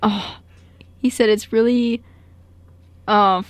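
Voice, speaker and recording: A young woman with an American accent talks in an average-pitched voice as a radio co-host. Her delivery is informal and slangy, a little hesitant and giggly, like gossip among friends. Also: fairly even intonation, slow pace. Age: young adult. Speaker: college radio show co-host. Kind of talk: casual, informal radio chat.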